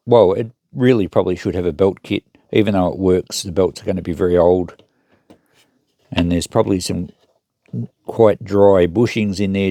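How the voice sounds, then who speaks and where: A man's voice: sits low, dark tone, no echo. A man giving casual, unscripted commentary as he thinks aloud through a hands-on repair job. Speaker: host of a repair channel.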